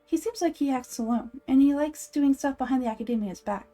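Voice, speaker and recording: A woman voicing a small, chirpy, childish girl companion in an anime game fan dub, blunt, pushy and excitable. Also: high-pitched, brisk, close to an everyday voice. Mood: excited. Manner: speaks of self in third person, pushy, excitable.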